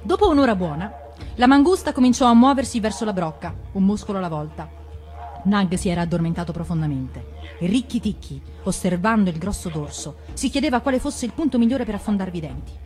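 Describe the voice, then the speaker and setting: A woman's voice: medium pitch, lively intonation, brisk, a little mellow. Female storyteller, dramatized fairy-tale narration, mid-range voice, light music underneath. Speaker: actress reading a fairy tale.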